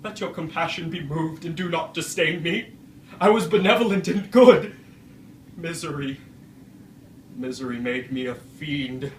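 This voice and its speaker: Wretched male creature, played by a man: anguished stage oratory, slightly high-pitched, deliberate and archaic, echoing room. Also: lightly acted. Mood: pleading, threatening. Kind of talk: dramatic stage monologue. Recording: roomy, distant microphone, faint noise.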